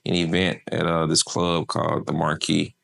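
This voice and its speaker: A man's voice: low-pitched, completely flat delivery, normal speed, gravelly, fairly bright tone. A man from Memphis, an artist manager chatting casually in an interview, laid-back and slangy with lots of fillers.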